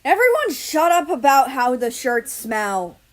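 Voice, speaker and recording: Woman doing grumpy loud story guy snapping at people, voice jumps up. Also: high voice, sing-song, a little slow, voice pushed up. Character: irritable loud British man, snapping at everyone.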